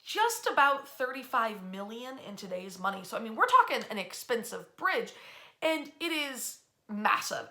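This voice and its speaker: A woman presenting local history as an animated storyteller, in a conversational, emphatic and folksy way. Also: a little high.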